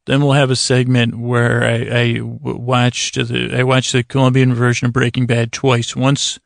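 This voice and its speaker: A man with a creaky voice hosting a bedtime podcast, talking in a soothing, meandering monologue with halting pauses and digressions. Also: medium pitch, level tone, dry recording, studio quality. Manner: soothing, lulling delivery. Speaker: podcast host.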